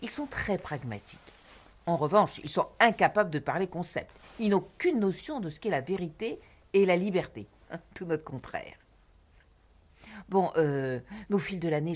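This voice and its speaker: An actress playing a haughty, self-important private film teacher who talks down to her students in a husky voice. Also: sits low. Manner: condescending, lofty, dismissive. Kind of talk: film drama acting.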